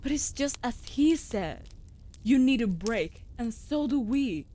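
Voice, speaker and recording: A woman's voice: a little high, animated, takes it slowly, warm tone. Woman playing a stoic, caring wolf familiar. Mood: caring, coaxing. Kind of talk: scripted comfort audio roleplay.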